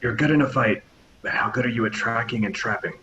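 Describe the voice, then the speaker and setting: A man's voice: medium pitch, quick pace, pushed and bright, dull audio, slight background noise, lightly acted. A man playing an injured but commanding spaceship captain in a sci-fi table read, direct and encouraging. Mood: steady, groggy.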